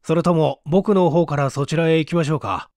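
A man voicing an eager, eccentric young mystery fanatic in polite, excitable audiobook dialogue. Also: fairly high pitch, a little fast, dry recording, studio quality, lightly acted. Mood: friendly, inviting. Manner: polite, excitable, animated speech.

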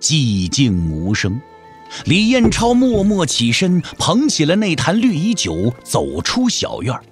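A man's voice: on the high side, wildly swooping pitch, a little slow. A man narrating a martial-arts audio drama in a storytelling style. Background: soft background music.

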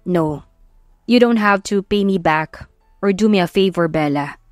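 A woman voicing a calm, protective young man in an audio love story, reassuring and measured. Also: lowish voice, dry recording, studio quality, soft background music, lightly acted. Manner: reassuring, measured, code-switching.

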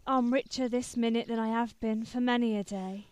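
An actress in a radio play voicing a destitute, bitter vagrant woman who was once well-off, with a husky voice. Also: on the high side, fairly even intonation, moderate pace, a little mellow, no room sound, close to an everyday voice. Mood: subdued, grateful.

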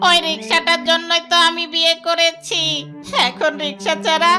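A woman voicing a naive, tearful young male fox in a children's cartoon, pleading in a whiny, drawn-out way. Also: very high voice, little pitch movement, music in the background. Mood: wailing. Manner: whining, wailing, drawn-out pleading.

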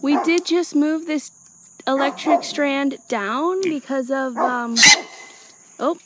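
A woman casually narrating her farm chores and thinking aloud as she goes, in an unscripted vlog style. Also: sits high. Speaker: small farm owner and vlogger. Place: outdoors on a farm. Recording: outdoor noise, insects and animal sounds.

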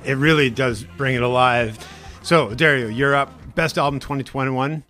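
A man's voice: mid-range voice, moderate pace, pushed and bright, faint music behind. A man hosting a radio chat about the year's best albums, asking guests enthusiastic questions. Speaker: host.

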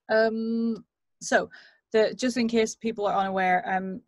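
A British woman teaching vocal exercises as a voice coach on a video call, demonstrating lively from a home studio mic. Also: fairly high pitch, slow pace, dry recording.

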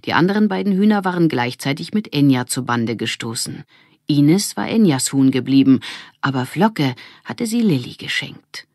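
A woman reading a children's audiobook as narrator, with expressive scripted storytelling. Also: deep voice, no room sound, clean studio sound.

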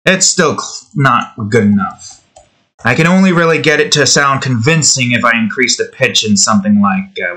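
A man's voice: mid-range voice, sharp tone. Young man rambling while he draws. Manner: rambling, self-talk, trying out voices. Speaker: art video creator. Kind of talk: casual running commentary while drawing.

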